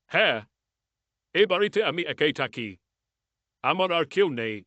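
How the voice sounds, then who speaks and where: A man voicing a kindly, pious old garden owner in a cartoon, speaking earnestly and with gentle insistence. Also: a little high, sing-song, a little slow, no echo, lo-fi sound, pitched above the performer's own voice, full character voice. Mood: gently reproachful.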